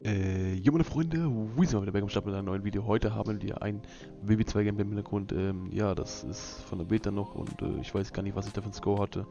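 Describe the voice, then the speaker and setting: A man's voice: on the low side, steady tone, fast talker, gravelly, warm tone, lo-fi sound, soft background music. A young man from Berlin, rambling in a laid-back, casual way with many fillers and laughs, talking over gameplay footage. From Berlin, Germany. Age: young adult. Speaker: gaming YouTuber. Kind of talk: casual gameplay commentary vlog.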